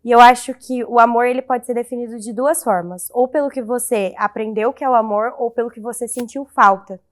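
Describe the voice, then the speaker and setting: Higher-voiced analytical woman, 21. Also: steady tone, brisk. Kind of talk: interview answers.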